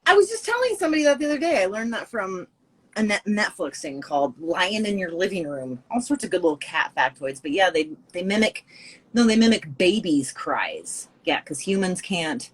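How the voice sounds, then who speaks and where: Woman, stream host, low husky voice, blunt and chatty. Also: very animated, normal speed. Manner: chatty, blunt, swears freely. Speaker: livestream host. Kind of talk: casual chat while painting on a livestream.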